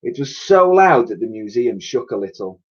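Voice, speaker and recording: A man's voice: mid-range voice, big pitch swings, normal speed, breathy edge, muffled recording. A man reading a children's story aloud like a teacher, with theatrical, suspenseful narration and emphatic sound effects spoken by mouth.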